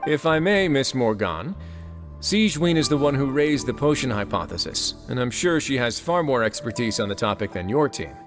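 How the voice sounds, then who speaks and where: A man's voice: medium pitch, expressive, moderate pace, faint music behind, lightly acted. An actor playing a composed, shrewd man of authority in a video game, courteous in manner. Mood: persuasive.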